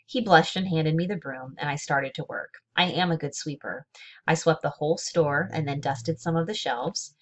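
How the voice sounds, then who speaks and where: A woman with a low voice reads a children's novel aloud. Her delivery is measured and expressive, with deliberate emphasis as she tells the story. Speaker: read-aloud reader. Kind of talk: expressive children's book narration.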